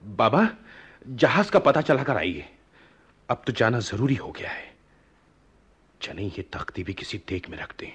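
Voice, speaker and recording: A man playing a young lovelorn prince, emotional and excitable, with theatrical exclamations in a radio play. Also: fairly low pitch, unhurried, long pauses, breathy edge, heavily acted. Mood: rueful, urgent. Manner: theatrical, exclamatory, swooping delivery. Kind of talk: Hindi radio play acting.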